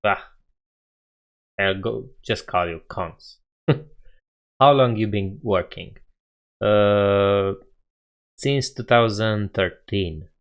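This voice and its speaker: A man's voice: fairly low pitch, extremely slow, long pauses. A young man with a Romanian accent, casually chatting with viewers on a coding live stream, laughing often and thinking aloud.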